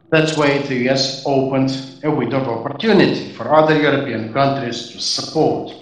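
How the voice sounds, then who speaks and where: A man answers audience questions slowly and deliberately, pausing between phrases. His voice is picked up from some distance in a large university hall with lots of room echo. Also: mid-range voice. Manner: measured, deliberate, with short pauses between phrases. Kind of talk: formal Q&A answers. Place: university auditorium.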